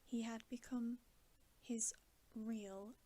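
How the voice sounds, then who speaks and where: A woman reading a bedtime story in ASMR style, soft, breathy and often whispering, in a hushed, soothing manner. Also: on the high side, steady tone, extremely slow. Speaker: ASMR host reading aloud.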